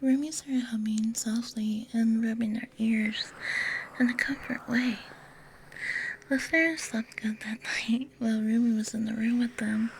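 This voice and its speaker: A woman narrating a bedtime story in ASMR style, in a hushed, gentle whisper close to a binaural microphone. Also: fairly high pitch, fairly even intonation, a little slow, slight background noise, low-quality recording.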